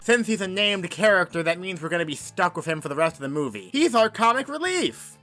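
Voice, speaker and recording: A man's voice: sits high, animated, brisk, music in the background. A man narrating a comedic movie review as host, sarcastic and mocking.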